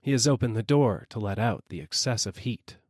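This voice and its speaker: A man's voice: lowish voice, animated, brisk, a little mellow, no room sound, clean studio sound. A man reading a literary story as a dramatic audiobook narrator.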